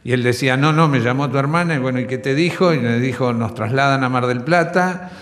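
A middle-aged man with an Argentine accent recalls events in a halting way, repeating phrases. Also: medium pitch, a bit bright. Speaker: interviewee, relative of a disappeared woman. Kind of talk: spontaneous recollection. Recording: music bed under interview. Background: music.

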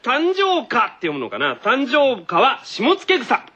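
A man's voice: extremely high pitch, huge pitch swings, unhurried, pushed and bright, breathy edge. A man co-hosting a comedic radio show, bantering freely in a playful, mock-indignant, joking manner. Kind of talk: comedic free-talk banter. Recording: dull lo-fi radio recording. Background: music.

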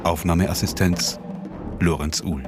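Male announcer, extremely deep voice, scripted credit reading over prominent loud music. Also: steady tone, unhurried.

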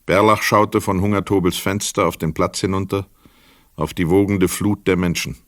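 A man with a Swiss German accent narrating a radio play in a measured, sober way. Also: low-pitched, fairly even intonation, dry recording, clean studio sound.

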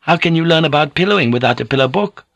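Man voicing a high, polite woman. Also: animated, fast talker, creaky, a bit bright, no room sound. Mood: eager, coaxing. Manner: polite, apologetic, careful foreign-sounding English. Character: gentle, deferential Japanese woman, earnest and coaxing. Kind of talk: audiobook character voice.

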